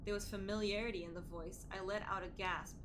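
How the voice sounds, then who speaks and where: A woman streamer reading a visual novel aloud in a dramatic, expressive and exclamatory way. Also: mid-range voice, brisk, noisy background. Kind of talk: dramatic read-aloud with playful reactions. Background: music.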